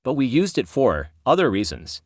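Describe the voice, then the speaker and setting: A man playing a proud captive tech founder under questioning, deliberate and self-important, in an amateur audio drama. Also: mid-range voice, sing-song, no echo, studio quality, natural voice. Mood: defiant. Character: proud captive male technology company founder.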